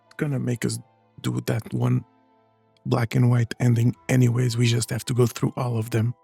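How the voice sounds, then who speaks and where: Flat, gravelly, low-key game streamer. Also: moderate pace, no echo, faint music behind. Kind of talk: casual off-the-cuff gameplay commentary.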